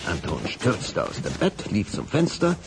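A man narrating a scripted children's radio story in an expressive, dramatic manner. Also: low-pitched, unhurried, vocal fry, very noisy.

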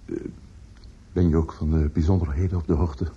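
An actor in a radio play playing a defensive, grieving older man, earnest and halting, often repeating words. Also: rumbling low, fairly even intonation, dark tone, slightly rough, heavily acted. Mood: shaken, subdued. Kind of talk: Dutch radio play acting. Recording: dull, noisy old radio recording.

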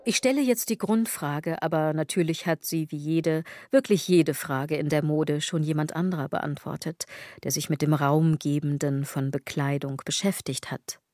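A woman's voice: low voice, darkish tone, no echo, clean studio sound, lightly acted. An actress playing a sardonic, rambling woman in a literary radio drama monologue, ironic and insistent, often correcting herself. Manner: ironic, self-correcting, insistent rhetorical delivery. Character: sardonic, rambling woman brooding on fashion and clothes.

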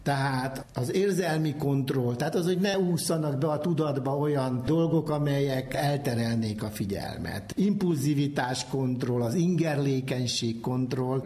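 A man's voice: medium pitch, a little mellow. A man who explains research as an academic expert, methodically and phrase by phrase. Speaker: psychology professor, researcher.

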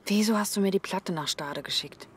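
A woman's voice: medium pitch, fairly quick, a little hiss or hum, natural voice. An actress playing a defiant, distrustful young woman in a radio drama, blunt and challenging. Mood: wary, terse. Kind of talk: naturalistic radio drama acting.

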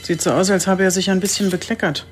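An actress playing a tough, commanding chief police inspector, brusque and direct, in an audio drama. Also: female voice, fairly low pitch, fairly even intonation, quick pace, slight background noise, close to an everyday voice.

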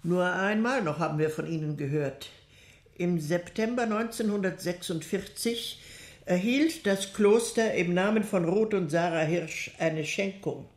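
Slow, echoey actress as a composed nun. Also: female voice, fairly low pitch, darkish tone, natural voice. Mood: measured recollection. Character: composed convent nun recounting wartime records to investigators. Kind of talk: radio crime drama acting. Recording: echoey room.

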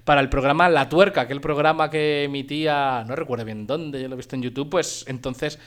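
Spanish guy from spain hosting a radio show, casual, wanders off into asides, mid pitch. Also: fairly even intonation, a little fast, fairly bright tone. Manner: informal, digressive. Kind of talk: casual chat, presenting music.

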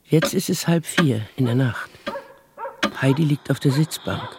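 A man narrating a childhood tale in a German radio play, scripted and measured. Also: medium pitch, heavy background noise, close to an everyday voice.

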